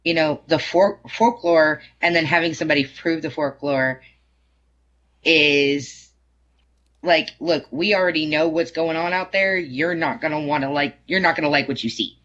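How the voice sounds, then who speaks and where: A man with a fairly high, bright voice chats on a writing podcast panel. He builds on ideas loosely, restarting his sentences as he goes. The audio is dull and lo-fi. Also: normal speed. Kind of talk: casual panel discussion.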